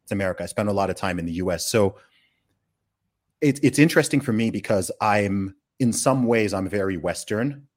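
A man's voice: on the low side, lively intonation, fairly quick, darkish tone. A man born in the UK and raised in Saudi Arabia, hosting a conversational podcast and making emphatic, opinionated points with repeated words and checking tags. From born in the UK, grew up in Saudi Arabia. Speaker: podcast host.

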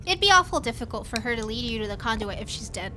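A woman's voice: fairly high pitch, animated, fast talker, bright, forceful tone, soft background music. An actress playing a brash, wisecracking young woman space pirate in a sci-fi audio drama, sarcastic and defiant.

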